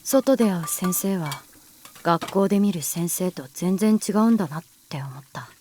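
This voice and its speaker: Husky, sullen runaway schoolgirl. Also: lowish voice, expressive, normal speed. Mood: wistful monologue. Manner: hesitant, terse, quietly guarded. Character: sullen, wounded runaway middle-school girl, guarded and reticent. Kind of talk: Japanese voice drama acting, with inner monologue.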